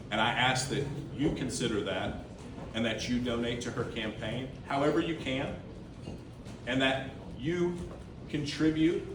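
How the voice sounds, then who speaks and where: A man's voice: mid-range voice, background noise. A man giving an earnest campaign speech to a crowd as the campaign treasurer. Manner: earnest, repeats key words for emphasis. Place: large indoor room with a crowd. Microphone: room microphone heard from a distance. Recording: echoey room recorded from far off.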